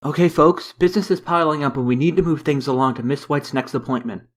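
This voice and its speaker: A man playing a courteous, brisk young office clerk in a comic dub. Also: fairly high pitch, lightly acted. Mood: polite, brisk.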